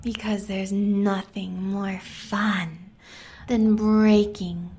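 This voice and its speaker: A woman's voice: medium pitch, little pitch movement, drawn-out words, warm tone, a little hiss or hum. An American woman playing a sadistic, seductive huntress villain in an audio roleplay, taunting and savoring her words, often in a whisper. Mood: gloating, amused.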